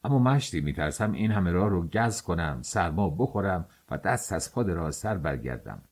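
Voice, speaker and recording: A man's voice: sits low, very animated, a little mellow, breathy edge, exaggerated voice. A man voicing an old male bakery worker over sixty, speaking with a slack, drawling Kermanshah accent and a grumbling manner.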